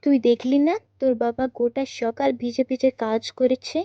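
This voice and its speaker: A woman's voice: sits high, little pitch movement, normal speed, dark tone, no room sound, highs cut off, close to an everyday voice. A woman voicing a fretful, scolding but caring village mother in a cartoon. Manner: fussing, chiding. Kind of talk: cartoon voice acting.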